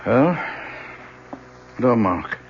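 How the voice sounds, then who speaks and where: An American actor playing a stiff, principled middle-aged professor and father in an old radio drama, speaking in a formal, measured, educated way. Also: mid-range voice, very animated. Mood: stiff insistence. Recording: muffled, faintly hissy old radio recording.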